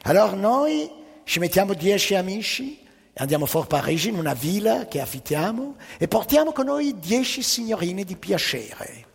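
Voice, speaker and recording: Man putting on a husky French-accented bon vivant. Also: high-pitched, very animated, normal speed, big-room sound, exaggerated voice. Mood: suave. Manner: mannered, slipping into French words. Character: suave, amorous male Parisian bon vivant.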